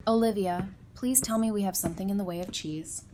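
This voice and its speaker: Audio drama role: easygoing, wry female survivor played by a woman, mid-pitched, noticeable ambient noise. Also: fairly quick, dark tone, close to an everyday voice. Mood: weary, wry. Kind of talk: audio drama acting, casual conversation.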